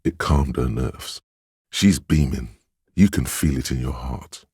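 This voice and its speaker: An extremely deep, heavily husky male voice narrates as the player's sense of empathy in a video game. It is gentle, perceptive and sorrowful, with measured, solemn phrasing. The sound is dry and intimate. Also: no room sound, full character voice. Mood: gentle, observant. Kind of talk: scripted second-person game narration.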